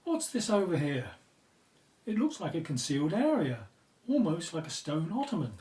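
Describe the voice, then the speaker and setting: An actress in an audio drama playing a practical, curious member of an archaeology team. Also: female voice, lowish voice, sing-song, normal speed, warm tone. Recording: echoey, distant from the mic.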